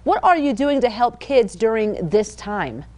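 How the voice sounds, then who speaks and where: A woman's voice: on the high side, very animated, measured pace, darkish tone. A woman hosting a morning TV show in the studio, running a sponsored interview with an emphatic, bubbly presenter delivery.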